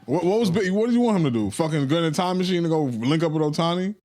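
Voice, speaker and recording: A man's voice: a little high, lively intonation, quick pace, dark tone. A man hosting a casual podcast chat, arguing and swearing loosely with friends. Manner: argumentative, swearing, laughs often.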